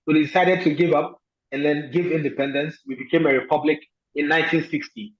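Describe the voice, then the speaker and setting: A man from Ghana, a guest answering interview questions in a thoughtful way, pausing often with fillers. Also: a little high, steady tone, highs cut off.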